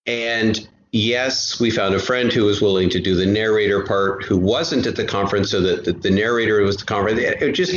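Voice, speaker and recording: Lower-voiced man in an echoey room. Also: moderate pace, few pauses. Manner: talkative, repeats and rephrases himself. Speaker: podcast guest, audio drama creator. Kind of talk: casual conversational interview. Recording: roomy, dull lo-fi, faint noise.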